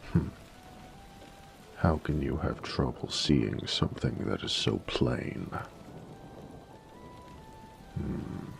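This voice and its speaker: A man playing a flirty, adoring dragon mentor in an intimate romantic audio roleplay, speaking formally in a gravelly voice that often drops to a whisper. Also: a bass voice, a little hiss or hum, full character voice. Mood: adoring, seductive. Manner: slow, formal, doting, seductive.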